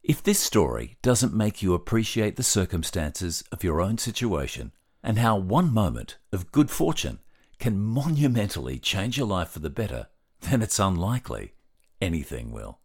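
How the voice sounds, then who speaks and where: A man reading a scripted podcast introduction in a measured, reflective way. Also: low voice, very animated, darkish tone, husky. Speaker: podcast narrator.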